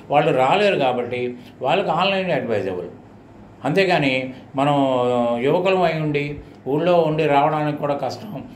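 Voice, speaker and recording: A middle-aged Telugu Indian man with a slightly high voice talks like a coaching teacher advising students. He is didactic and repeats his points for emphasis. The recording is very echoey, with faint noise behind him. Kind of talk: informal advisory talk to students.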